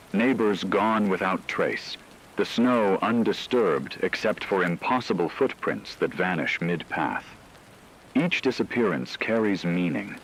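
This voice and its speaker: Deep-voiced, solemn actor as radio newscaster. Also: male voice, slight background noise, rough recording. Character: grave male radio newscaster reporting supernatural terror. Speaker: radio news host. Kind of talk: scripted horror radio news narration.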